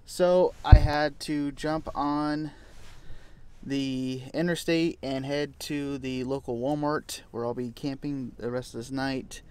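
A young man with a North American accent talks to his camera in an easygoing, colloquial way. His voice sits at a medium pitch, with the casual delivery of an outdoor vlogger recording inside a truck. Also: fairly even intonation, slow pace, a little mellow, clear, resonant tone. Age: young adult. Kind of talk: casual vlog chat to camera. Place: inside a parked truck at night.

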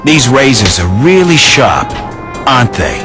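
An actor playing a young, coolly threatening mob recruit in a video game, terse and intimidating. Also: male voice, mid-range voice, huge pitch swings, measured pace, loud music behind the voice. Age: young adult.

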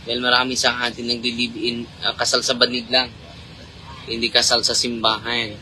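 Man, priest, casual live chat, laughs a lot, bright tense voice, faint road hum. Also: medium pitch, monotone, normal speed, rough recording. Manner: chatty, laughs often, switches between Tagalog and Cebuano. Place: inside a car in traffic at night. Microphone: phone held at arm's length.

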